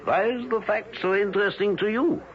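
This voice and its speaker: An actor playing a coldly menacing male former Nazi village elder in a radio drama, speaking formally and deliberately. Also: sits high. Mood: formal, guarded. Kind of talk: 1970s radio drama acting. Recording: dull, noisy old radio broadcast.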